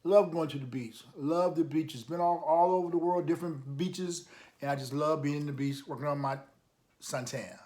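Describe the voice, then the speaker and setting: A man's voice: a little high, normal speed, a little mellow. A man reading a picture book aloud for children, adding encouraging, emphatic asides and putting questions to his listeners. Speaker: storytime reader, coach.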